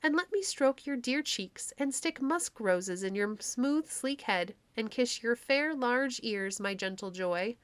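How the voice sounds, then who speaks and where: A woman's voice: a little high, animated, measured pace, few pauses, dry recording, pitched above the performer's own voice. Woman playing a besotted fairy queen, lifted voice. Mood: doting. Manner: gushing, sweetly imperious.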